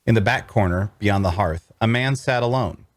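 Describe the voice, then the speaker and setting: A man playing a weary, wry adventurer, narrating a scripted fantasy tale in the first person in a measured storytelling manner. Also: on the low side, dry recording, natural voice. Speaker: voice actor narrating as the protagonist.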